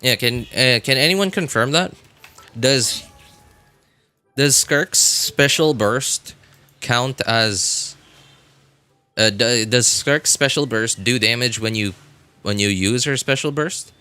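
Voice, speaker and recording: A man's voice: medium pitch, slow, pauses often, pushed and bright, clean tone, soft background music. A man game livestreamer chatting casually, thinking aloud in a hesitant way and often repeating himself. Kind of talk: casual livestream chat while theorycrafting a game.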